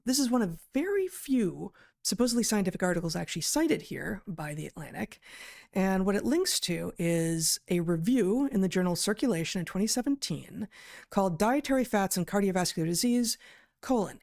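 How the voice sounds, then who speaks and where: A woman co-hosting a podcast, giving skeptical, critical commentary in a halting way. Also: mid-range voice, gravelly, a little mellow. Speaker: podcast co-host, biologist.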